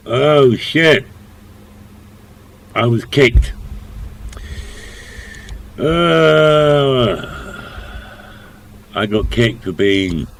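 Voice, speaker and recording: Slow-talking man grumbling while gaming. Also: medium pitch, animated, vocal fry. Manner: swears and grumbles under his breath. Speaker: streamer playing a roleplay server. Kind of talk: casual muttering to himself while gaming.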